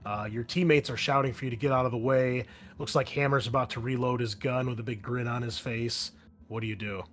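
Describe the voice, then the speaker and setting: A man acts as game master for a tabletop roleplaying session. His voice sits at a middle pitch, and he moves between casual rules talk and dramatic description of the action. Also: steady tone, a little fast, a little hiss or hum.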